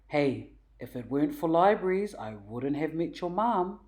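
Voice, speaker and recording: Woman reading as an easygoing, expressive storybook dad. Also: low voice, moderate pace. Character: friendly, patient father, a preacher, in a storybook.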